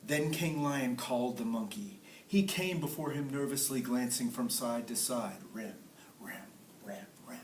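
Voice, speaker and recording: A man's voice: medium pitch, a little slow. A man teaching a class, reading a story aloud expressively and putting encouraging questions to the listeners. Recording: roomy classroom, distant mic, faint noise.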